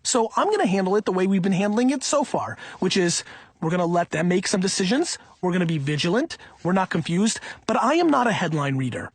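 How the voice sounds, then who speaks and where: A man reading an audiobook in the first person, in an emphatic, punchy, conversational way with a husky voice. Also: high voice, expressive, a little fast, no echo, clean studio sound. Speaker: audiobook reader.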